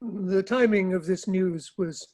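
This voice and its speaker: A man playing a dry, mildly ironic Victorian gentleman in a dramatic play reading, formal and understated, with a husky voice. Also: sits high, slow. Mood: dry irony.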